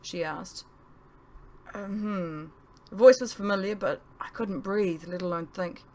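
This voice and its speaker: A woman's voice: mid-range voice, unhurried, darkish tone. A woman reading her own novel aloud in a dramatic audiobook narration style.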